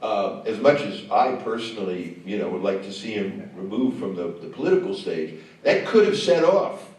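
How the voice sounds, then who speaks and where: A man, a film director, answering questions on stage after a screening, talking in a halting way with many fillers and restarts. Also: on the low side, animated, a little mellow. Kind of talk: on-stage conversation. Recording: echoey hall, mic far off.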